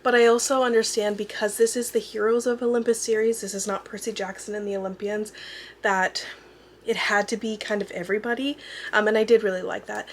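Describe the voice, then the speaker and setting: A young adult woman with a hoarse, fading voice, chatting casually about what she reads, rambling with fillers and self-corrections. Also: mid-range voice, flat delivery, brisk, slight background noise. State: hoarse, losing her voice. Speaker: book vlogger. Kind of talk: casual chat. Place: at home with a dog nearby. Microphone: camera mic.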